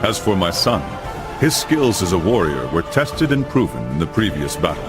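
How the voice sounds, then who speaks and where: A man's voice: deep voice, big pitch swings, moderate pace, over music. An actor playing a grave older crusader father who narrates medieval history formally in a video game. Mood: solemn. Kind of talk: scripted dramatic narration.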